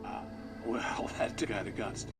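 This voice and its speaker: An actor playing a chatty, amiable, folksy small-town radio host interviewing a guest in a video game. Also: male voice, on the low side, fairly even intonation, unhurried, natural voice. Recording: clear music behind the voice.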